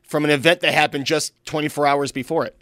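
A man co-hosting talk radio, telling a story earnestly with halting repeats, into a studio microphone. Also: fairly high pitch, fairly even intonation, pushed and bright. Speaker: radio co-host.

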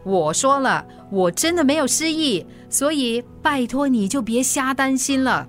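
A woman playing a feisty, stubborn, middle-aged mother in a radio drama, with a Singaporean accent and a brisk, defensive, colloquial way of talking. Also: high-pitched, animated, soft background music. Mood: teasing, dismissive. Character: feisty forgetful mother, stubborn, brushing off worry.

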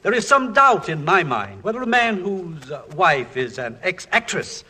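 An actor playing a prim, stuffy, hesitant college board member in 1940s radio, fussy, stammering and indignant. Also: male voice, high-pitched, big pitch swings, moderate pace, pushed and bright, breathy edge, full character voice. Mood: hesitant, disapproving. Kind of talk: 1940s radio comedy acting.

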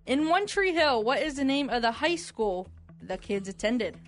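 A woman's voice: high-pitched, very animated, moderate pace, faint music behind. A woman co-hosting a radio quiz, reading trivia questions in a conversational way.

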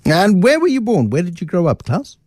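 A man hosting a radio business show, questioning his guest in a chatty, lively way with asides. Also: high voice, fairly quick, no echo, clean studio sound. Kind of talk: radio interview.

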